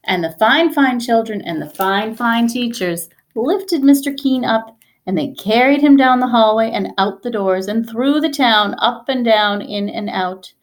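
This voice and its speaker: A woman in her thirties reads a picture book aloud in a small room. Her voice sits a little high, and she tells the story expressively, stressing repeated words with care. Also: unhurried. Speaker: teacher reading aloud. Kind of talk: children's storybook read-aloud.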